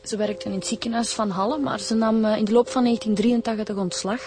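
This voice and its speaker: An actress playing a direct, inquisitive police inspector with a Flemish accent in a radio drama. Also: female voice, on the high side, fairly even intonation, quick pace, a little mellow, a little hiss or hum, close to an everyday voice. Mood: informative.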